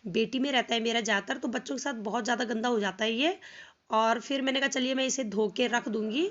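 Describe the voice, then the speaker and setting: A young woman with a North Indian accent and a hoarse voice from a cold, casually explaining a household chore step by step for her home vlog. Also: a little high, fairly even intonation, fast, muffled recording. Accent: Hindi-speaking North Indian. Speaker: home vlogger. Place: home, by a washing machine outdoors.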